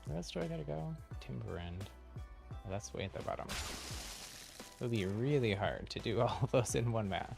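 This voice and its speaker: A man's voice: medium pitch, lively intonation, normal speed, creaky, pauses often. A man chatting casually and in a friendly, welcoming way to viewers while streaming a game. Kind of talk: casual live gaming chat and commentary. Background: game music.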